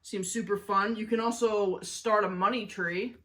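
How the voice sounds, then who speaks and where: A woman's voice: medium pitch, steady tone, moderate pace, a bit bright. A woman hosting a children's story-time show, talking to kids in a playful, enthusiastic way. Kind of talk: children's book reading and review.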